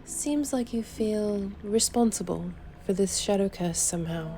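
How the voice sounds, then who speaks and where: Mid-pitched, direct adventurer heroine voiced by a woman. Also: a little slow, warm tone, faint music behind, close to an everyday voice. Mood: inquisitive. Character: female adventurer, direct, inquisitive fantasy game heroine. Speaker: amateur voice actor. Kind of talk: scripted fantasy game dialogue.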